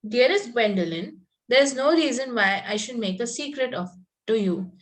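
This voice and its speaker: A spirited young country girl in a Victorian comedy, acted by a woman at a table read. The voice is on the high side. She is sweetly polite with a pointed edge, and she stumbles a little as she reads. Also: lively intonation, moderate pace, close to an everyday voice. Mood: sweetly confiding. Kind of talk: amateur reading of a Victorian comedy play.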